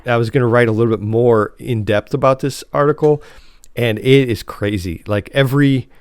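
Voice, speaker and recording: A man's voice: medium pitch, moderate pace, clear, resonant tone, no room sound. Man chatting casually, dry close sound. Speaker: podcast host. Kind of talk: casual podcast chat.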